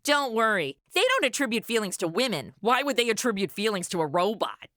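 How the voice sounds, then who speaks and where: An actress playing a sassy young modern influencer in a comedic audio drama, snarky and argumentative with casual slang. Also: female voice, mid-range voice, very animated, fairly quick, fairly bright tone, no echo, studio quality. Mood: sarcastic.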